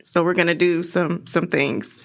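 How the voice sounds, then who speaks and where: A woman's voice: lowish voice, steady tone, moderate pace, vocal fry. A woman chatting casually as a guest in an interview, recorded in a podcast studio.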